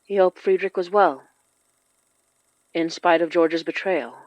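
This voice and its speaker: A woman narrating a scripted horror story in a measured, deliberate way with dramatic pauses. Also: fairly low pitch, a little mellow, no room sound, poor audio. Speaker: narrator of horror fiction podcast.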